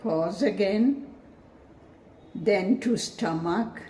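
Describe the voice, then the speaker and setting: Slow, mellow woman guiding meditation. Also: medium pitch, slight background noise. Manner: measured, instructive, soothing. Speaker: meditation guide. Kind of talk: guided meditation, scripted narration.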